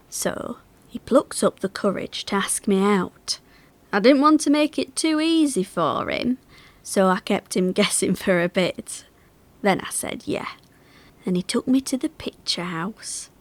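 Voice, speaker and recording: Actress doing older working class lady, chatty stage monologue, looking back fondly on factory days, mid pitch. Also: animated, a little slow, lightly acted. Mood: fond, amused. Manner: chatty, confiding, wry, with dialect turns. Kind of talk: theatrical monologue, conversational reminiscence.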